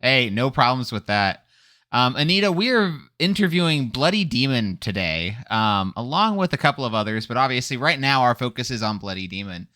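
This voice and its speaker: An upbeat man hosts a casual interview, joking and keeping things moving. His voice sits mid-range with a bright edge. The sound is dry and close. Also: medium pitch, big pitch swings, moderate pace, dry recording. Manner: upbeat, playful, laughs often. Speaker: host and interviewer. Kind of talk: casual chat.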